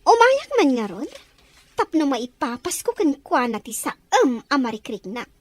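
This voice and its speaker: A woman's voice: high-pitched, big pitch swings, measured pace. A woman doing a sly young schemer. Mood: scheming. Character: young woman scheming to win a married man. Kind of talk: Ilocano radio-style drama.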